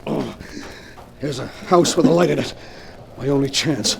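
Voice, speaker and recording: A man's voice: mid-range voice, a little slow, gravelly, a little hiss or hum. An actor playing a ruthless, hunted male crook in a 1940s radio drama, talking in a hard-boiled gangster way.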